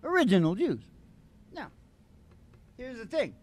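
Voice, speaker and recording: A man's voice: very high-pitched, big pitch swings, measured pace. A man telling a story casually and comically as a show co-host, in a rambling, irreverent, jokey way. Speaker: singing DJ and co-host of a country comedy show.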